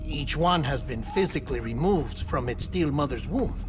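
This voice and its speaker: A man's voice: fairly high pitch, animated, moderate pace, lightly acted. A man playing an earnest, slightly awkward medical expert in a video game, explaining things in precise technical terms. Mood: cautioning. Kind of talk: video game cutscene acting. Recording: music playing behind the voice.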